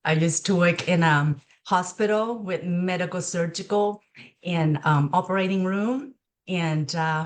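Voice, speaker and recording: A middle-aged woman with a Thai accent talks as an interview guest in a slightly low voice. She speaks very slowly and with hesitation, pausing often and filling the gaps with soft fillers as she gathers her thoughts. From Thailand. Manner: slow and hesitant, many pauses and fillers. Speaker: guest, registered nurse. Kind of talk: conversational interview.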